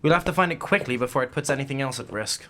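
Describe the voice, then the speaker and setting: A woman playing a brisk, commanding, easily exasperated supernatural investigator with formal speech in an audio drama. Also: a bass voice, expressive, fairly bright tone, full character voice. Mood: businesslike, probing.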